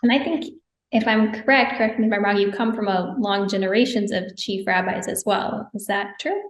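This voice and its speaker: A woman's voice: medium pitch, steady tone, fairly quick. A woman hosting an interview, asking questions in a conversational way. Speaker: interviewer, host. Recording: echoey, roomy sound.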